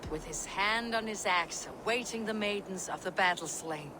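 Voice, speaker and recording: A woman's voice: medium pitch, moderate pace, noisy background, lightly acted. A woman playing a dutiful Viking warrior who speaks firmly and plainly, commanding a sulking king to come home. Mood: solemn. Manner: firm, plain-spoken, commanding. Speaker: game protagonist. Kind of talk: video game cutscene acting.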